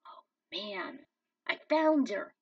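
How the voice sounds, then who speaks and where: A woman's voice: sits high, expressive, takes it slowly, dry recording, pitched above the performer's own voice, full character voice. A woman voicing an earnest young male hero in a story, speaking urgently and emphatically. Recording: dull, distant microphone.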